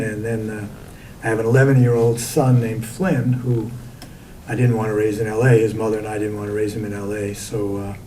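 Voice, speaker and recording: An older man, a poet guest speaking in a relaxed, reminiscing way. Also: medium pitch, recorded from a distance, a little hiss or hum, low-quality recording. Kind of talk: interview answers.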